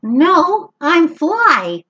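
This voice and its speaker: A woman reading aloud, voicing a tiny, helpful male fly who speaks in a chirpy, quick way. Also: extremely high pitch, very animated, sharp tone, dull audio, voice pushed up, full character voice.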